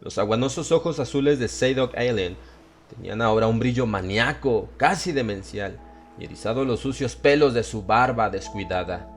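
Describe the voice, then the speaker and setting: A man's voice: medium pitch, lively intonation, moderate pace, faint music behind. A man reading scripted horror fiction as an audiobook narrator.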